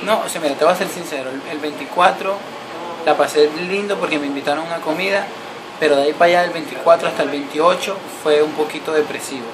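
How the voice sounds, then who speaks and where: A young man from Colombia talks fast and earnestly in a casual interview, his voice slightly high and his sentences tumbling with restarts. He sits close to the camera in a small room, and a clear air-conditioning hum runs under his voice. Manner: earnest, grateful, rambling with restarts. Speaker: guest, actor. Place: small indoor room, seated beside host.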